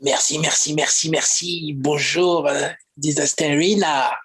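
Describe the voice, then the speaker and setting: A man's voice: fairly high pitch, steady tone, measured pace, fairly bright tone, slightly rough. A man from Paris with a French accent, chatting casually as an interview guest in a hesitant, giggly way. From Paris, France.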